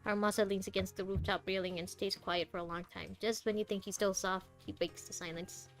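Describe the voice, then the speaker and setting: A woman's voice: mid-range voice, level tone, a little fast. A woman giving casual, teasing commentary on a game playthrough and sometimes reading the on-screen text aloud. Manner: playful, teasing. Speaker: streamer playing a game blind. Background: game music.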